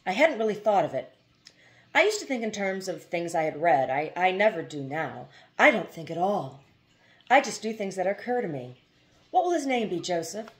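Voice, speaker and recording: A woman voicing a thoughtful young mother and protective wife in a storybook, gentle and questioning. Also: on the low side, big pitch swings, normal speed.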